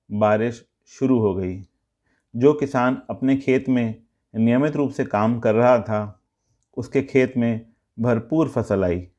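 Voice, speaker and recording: A man narrating a scripted moral story as a storyteller, in measured, deliberate short phrases. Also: medium pitch, dark tone, lo-fi sound.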